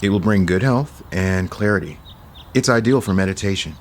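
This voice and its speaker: An actor playing a gentle, earnest, considerate man in an audio soap opera. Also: sits low, animated, moderate pace, slight background noise. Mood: gentle, gracious.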